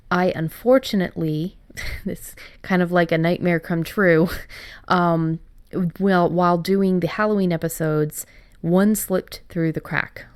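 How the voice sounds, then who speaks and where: Lowish-voiced woman, dry close recording. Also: darkish tone, smooth, no echo. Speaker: podcast host. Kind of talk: podcast announcement, informal.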